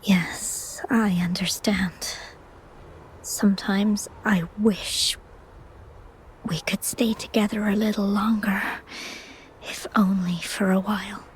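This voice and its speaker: A frail, gentle woman painter from a video game, acted by a voice actress. She talks very slowly in a medium pitch, hushed and breathy, with quiet resolve. There is faint ambience behind her. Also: little pitch movement, slight background noise, close to an everyday voice. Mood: wistful. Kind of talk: scripted video game voice acting.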